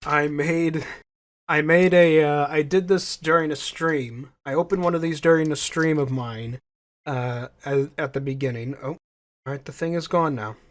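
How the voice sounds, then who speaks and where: A man's voice: on the high side, normal speed. A young man hosting his own podcast in casual solo chat, stuffy-nosed from a cold, rambling and repeating himself as he corrects his own phrasing. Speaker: podcast host. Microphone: home podcast microphone.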